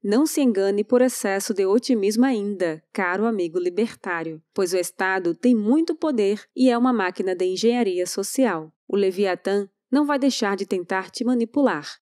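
A woman's voice: medium pitch, normal speed, few pauses, a little mellow, no room sound, clean studio sound. Polemical woman narrating a video essay. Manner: polemical, emphatic. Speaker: channel narrator.

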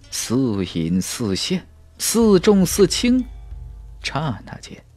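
Male narrator for fantasy audiobook: unhurried, theatrical delivery, widely swinging pitch, suspenseful pauses. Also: darkish tone, dry recording. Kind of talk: dramatic scripted narration of a fantasy novel.

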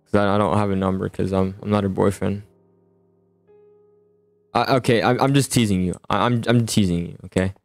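A man's voice: lowish voice, steady tone, a little fast, creaky, pauses often, no echo. A man chatting casually in an improvised game roleplay, often stammering and repeating his words. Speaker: host of a roleplay gaming video. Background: music.

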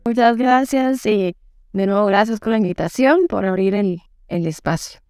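A woman. She answers interview questions in a calm, friendly storytelling manner. Her voice is smooth and clear, and the close lapel mic keeps it dry. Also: medium pitch, moderate pace, no room sound. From Guatemala. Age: 30s. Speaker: guest, figure skating coach. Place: studio set.

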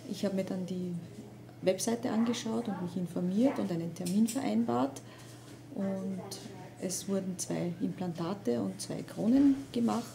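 Gravelly-voiced woman, patient testimonial. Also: medium pitch, a little slow, crowd noise. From Vienna, Austria. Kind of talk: interview testimonial. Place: office, indoors.

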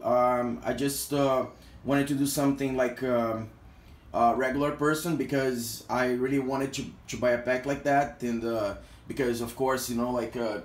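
A man from Brazil with a Brazilian accent, reviewing gear casually and hesitantly, with lots of fillers. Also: mid-range voice. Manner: hesitant, heavy use of fillers. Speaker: product reviewer. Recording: echoey room sound.

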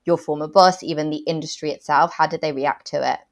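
A woman's voice: low-pitched, fairly even intonation, brisk. A young woman interviewing a guest over a video call, summing up his points and asking follow-ups. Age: young adult. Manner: engaged, sums up and builds on answers. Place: small room at home, indoors. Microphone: earphone mic on a video call.

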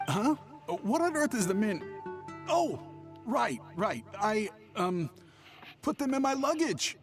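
A man's voice: high voice, big pitch swings, slow, faint music behind, exaggerated voice. A man voicing a nervous, shifty young merchant in a video game, hesitant and evasive. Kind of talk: scripted video game voice acting.

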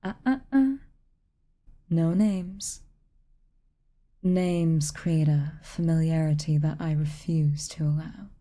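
A haughty, reclusive witch, played by a woman in an intimate ASMR roleplay. Her voice is low and very dark, soft enough to slip toward breathiness. She speaks in clipped, commanding phrases, stern on the surface with a hidden kindness. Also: slow pace, long pauses. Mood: aloof, stern. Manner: aloof, clipped, commanding, occasionally breathy near-whisper. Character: haughty, reclusive tsundere witch, stern but secretly kind.

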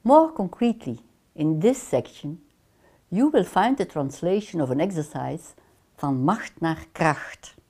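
An older woman with a Dutch accent delivers a course lecture in an unhurried, deliberate way. Her voice is mellow and somewhat low, and her pitch rises and falls in a lively manner. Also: breathy edge. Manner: measured, deliberate, gestures with her hands. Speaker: counseling lecturer. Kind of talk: scripted online course lecture. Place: small lamp-lit room, seated in armchair.

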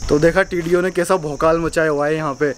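A young man vlogging outdoors in casual chat, excitable and often laughing, filmed on a handheld action camera. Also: a little high, fast talker, a little hiss or hum. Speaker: moto vlogger. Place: outdoors on a windy hillside by a dam. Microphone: action camera held at arm's length.

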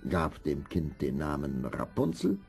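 A man narrating a scripted fairy tale in a measured, expressive storytelling manner. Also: very deep voice, vocal fry, over music.

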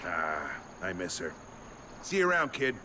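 A man's voice: low voice, very animated, unhurried. An actor playing a smug, cynical male government agent who talks in a sardonic, mocking way. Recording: game audio over gameplay noise.

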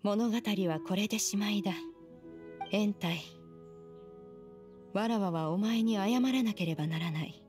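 A woman's voice: mid-range voice, a little slow, long pauses, lightly acted. An actress playing a proud, stern immortal crane in human woman's form, speaking in a lofty, archaic, formal way in a scripted game story. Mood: solemn. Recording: loud game music behind the voice. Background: game music.

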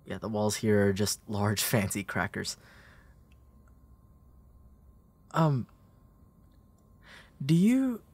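A young man playing a gentle, protective, playful big brother in a comfort roleplay, speaking in a hesitant, soothing way. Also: on the high side, dry recording. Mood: gentle concern. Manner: hesitant, soothing, halting delivery. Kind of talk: intimate comfort roleplay audio.

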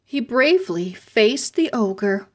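A woman narrating a children's chapter book aloud, with careful, expressive storybook phrasing. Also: a little high, takes it slowly. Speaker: read-aloud host and narrator.

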